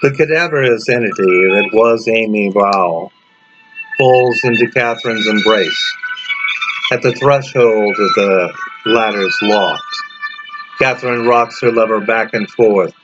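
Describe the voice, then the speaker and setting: A man narrating a scripted gothic horror story as its author, in a dramatic, deliberate manner with drawn-out words. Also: fairly low pitch. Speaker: author reading own story. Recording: dull lo-fi audio under loud music. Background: loud music.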